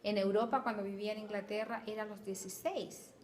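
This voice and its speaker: A woman's voice: medium pitch, fairly even intonation, fast, darkish tone. Woman, from Chile, middle-aged, Spanish teacher, thoughtful, hesitant repeats, slight background noise. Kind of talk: casual interview answers.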